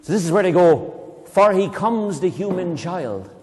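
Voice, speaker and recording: An Irish man reading a poem aloud to children, reciting slowly and dramatically and breaking off into playful, chatty explanations. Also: high voice, lively intonation, darkish tone, a little hiss or hum. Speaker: poetry reader leading children. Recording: long reverb in a hall.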